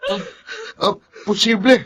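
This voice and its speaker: A man's voice: sits high, steady tone, a little slow, a bit bright, a little hiss or hum. An actor playing an earnest, agitated young family friend in a radio drama, respectful and hesitant in his grave delivery. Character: earnest, agitated male family friend bearing bad news. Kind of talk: Tagalog radio drama acting.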